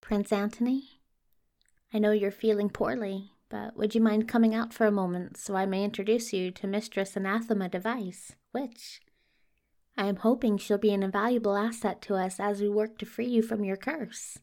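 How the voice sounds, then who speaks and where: A woman's voice: medium pitch, steady tone, moderate pace, a little mellow, close to an everyday voice. A woman voicing an anxious, courtly male messenger who speaks with fussy, flustered politeness, eager to please, in an audio drama. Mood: politely hopeful. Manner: flustered, placating, genteel phrasing. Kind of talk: multivoice podfic audio drama acting.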